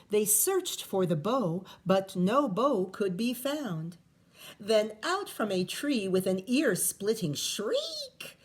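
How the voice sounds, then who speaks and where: Slow, animated woman reading to children. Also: mid-range voice. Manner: explanatory, questioning. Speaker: teacher reading aloud. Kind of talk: expressive children's read-aloud with asides.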